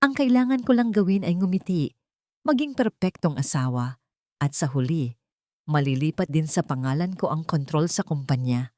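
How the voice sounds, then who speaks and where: A woman's voice: low voice, animated, normal speed, mellow, dry recording, studio quality. Cold scheming heiress villain acted by a woman. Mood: smug, scornful. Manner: mocking, cold, smug. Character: scheming, contemptuous young female villain, rich heiress.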